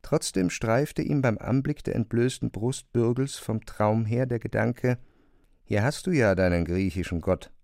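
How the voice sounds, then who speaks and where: A man narrating a literary radio drama from a script in a measured storytelling style. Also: medium pitch, darkish tone, no echo, clean studio sound.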